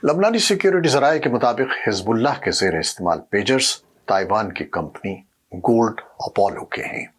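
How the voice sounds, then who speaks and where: Male news presenter, formal and measured, husky tone with strongly animated intonation. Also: fairly low pitch. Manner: formal, measured newsreader delivery. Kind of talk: scripted news narration.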